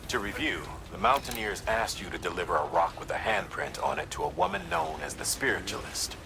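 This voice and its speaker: An actor playing a businesslike officer in a game, delivering a measured, formal mission briefing. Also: male voice, deep voice, lively intonation, vocal fry, noisy background.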